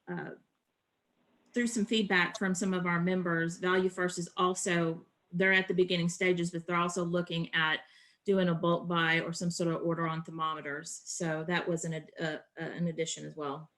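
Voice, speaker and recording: Lower-voiced woman giving a halting work update. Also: fairly bright tone. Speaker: association staffer giving updates on a provider call. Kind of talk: informal work briefing.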